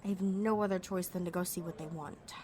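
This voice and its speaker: A woman's voice: lowish voice, steady tone, fairly quick, warm tone, echoey room, big-room sound, a little hiss or hum, lightly acted. A woman playing a cocky, sarcastic young pickpocket outlaw in a sci-fi audio roleplay, muttering wryly to herself. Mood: wary, resolute. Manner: wry, sardonic, slangy, talking to herself.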